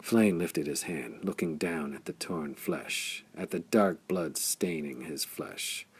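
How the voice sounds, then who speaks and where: A man reading a pulp science-fiction story aloud as an audiobook narrator, with a gravelly, husky voice and a measured, dramatic delivery. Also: a bass voice.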